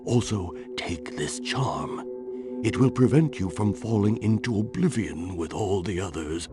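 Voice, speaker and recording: A grave, cryptic male island guardian from a video game. His low voice moves very slowly and portentously, like a witch doctor giving prophecy, over clear music. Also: expressive. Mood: grave, foreboding. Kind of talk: video game cutscene acting.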